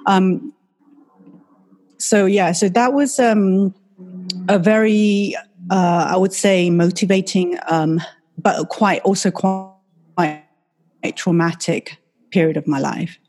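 A woman's voice: medium pitch, steady tone, takes it slowly, long pauses, darkish tone. A middle-aged woman from Taiwan, a native Mandarin speaker, talking as a doctor guest on a podcast in a friendly, reflective way with fillers. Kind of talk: casual podcast interview.

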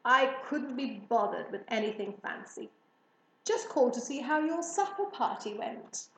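A woman's voice: a little high, animated, measured pace, reverberant, rough recording. A woman reading a storybook, voicing a magical talking hare with a breezy, knowing, teasing manner.

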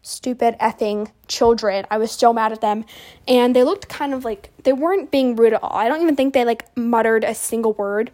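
A woman's voice: a little high, moderate pace, a little mellow. A young woman in her teens rambling through casual stories in a chatty, slangy, easily exasperated way. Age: teenager. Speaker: podcast host.

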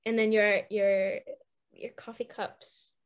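A woman's voice: medium pitch, flat delivery, takes it slowly, clean tone. A young woman chatting casually with a friend, teasing her playfully. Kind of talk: casual chat.

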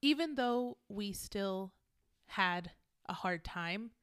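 Deadpan woman co-host. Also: medium pitch, slow, clean tone, no room sound. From Texas. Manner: dry teasing, deadpan. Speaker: podcast co-host. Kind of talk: casual chat.

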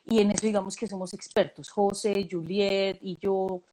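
A woman, a casting director giving relaxed, thoughtful interview answers with many fillers and restarts. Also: medium pitch, normal speed, rough recording.

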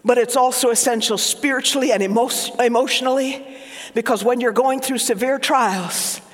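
A man from Oklahoma with a Southern accent, preaching a fervent sermon as pastor, rising to shouts and falling to hushed whispers. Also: very high voice, normal speed, long reverb tail. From Oklahoma, USA.

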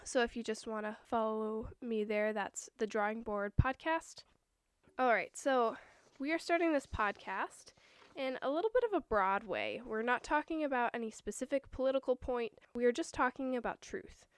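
Hoarse young woman, chatty podcast host. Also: fairly high pitch, normal speed, dry recording. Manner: earnest, chatty, a little nervous. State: hoarse from a cold. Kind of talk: casual solo podcast talk.

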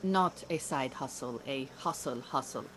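An actress playing an earnest, proud Iranian refugee woman who mentors beekeepers in an audio drama, speaking in a measured, formal, precise way. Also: extremely low pitch, poor audio. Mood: firm, defensive. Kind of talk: naturalistic audio drama acting.

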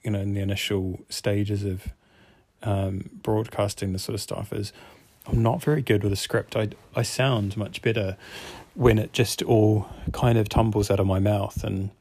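A man's voice: lowish voice, steady tone, normal speed, a little mellow, no echo. A man with a New Zealand accent hosting his own podcast, musing earnestly and unscripted, thinking aloud with hesitations. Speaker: podcast host, fitness coach.

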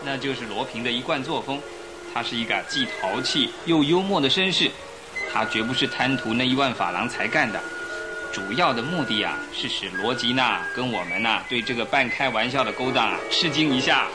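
Actor as mid-pitched, opinionated male passenger. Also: expressive, close to an everyday voice, poor audio. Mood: musing. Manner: deliberate, opinionated. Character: reflective male ship passenger, admiring speculator. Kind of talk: radio drama acting. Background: music.

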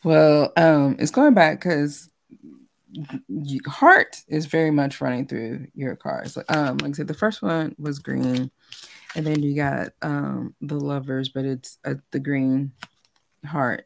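A woman's voice: deep voice, slow pace, gravelly. A woman leading a card reading in an intimate, conversational and affirming way. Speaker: card reader leading the session.

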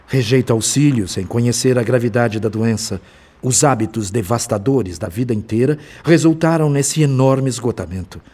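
Husky, mellow, solemn spirit mentor. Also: male voice, on the low side. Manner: measured, explanatory, solemn. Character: compassionate, grave male spirit mentor, calmly analytical. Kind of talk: radio drama dialogue.

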